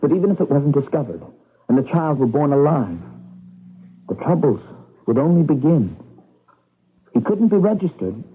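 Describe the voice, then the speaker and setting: Wary, anxious young man, husky. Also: on the high side, big pitch swings, normal speed, pauses often. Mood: anxious, hesitant. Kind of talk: radio drama acting. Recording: muffled narrowband 1980s radio broadcast.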